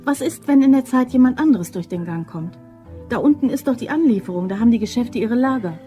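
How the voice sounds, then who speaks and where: A woman's voice: a little high, animated, a little fast, mellow, faint music behind, close to an everyday voice. An actress playing a cool, bossy office woman who masterminds a robbery, clipped and businesslike, in a German crime radio play. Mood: cautious. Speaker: actor.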